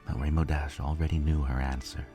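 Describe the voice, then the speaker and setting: Man, very low voice, scripted dramatic story narration, soft music underneath. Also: level tone, a little fast.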